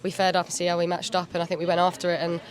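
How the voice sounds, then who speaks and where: A woman team captain giving a halting, modest post-match interview pitchside, full of "like" and "um" fillers. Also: completely flat delivery. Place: pitchside at an outdoor stadium.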